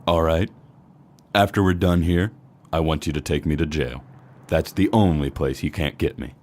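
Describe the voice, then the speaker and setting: Snowman hitman, played by a man: extremely low voice, menacing, formal gangster delivery for a noir comedy audio drama. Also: normal speed, darkish tone, a little hiss or hum. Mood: cornered, fearful. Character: menacing snowman mob hitman, turning fearful when cornered.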